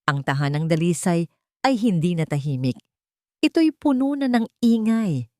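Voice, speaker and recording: Female narrator for dramatic fiction: measured, emotive phrasing, highly varied intonation, dry close recording. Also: very animated, dark tone, no echo. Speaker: story narrator. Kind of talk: dramatic scripted storytelling narration.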